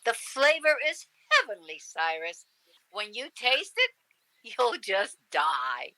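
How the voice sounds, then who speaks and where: A woman's voice: medium pitch, huge pitch swings, slow pace, sharp tone, heavily acted, low-quality recording. A woman playing a doting wife in a radio comedy, cooing with exaggerated sweetness. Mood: sweet, coaxing. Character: sugary, doting wife on video call.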